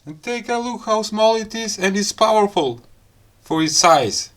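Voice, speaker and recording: A man with a non-native English accent giving a casual hands-on gadget demo in short, halting phrases. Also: sits high, animated, fairly bright tone, soft background music. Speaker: gadget review host. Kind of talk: casual hands-on unboxing and product demo talk.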